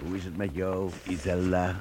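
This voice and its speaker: An actor playing a calm, protective leader guiding his fugitive group in a radio play, urging them on quietly. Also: moderate pace, close to an everyday voice. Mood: urgent. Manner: low, urgent, protective. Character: calm, caring leader of a fleeing band, grown man. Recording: hissy old tape.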